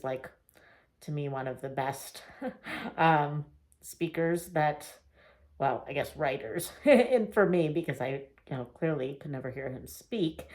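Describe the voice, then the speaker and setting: A woman vlogging a casual reading wrap-up, chatty and rambling with fillers and small laughs, her voice getting a little raspy. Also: low voice, a little mellow. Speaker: book vlogger.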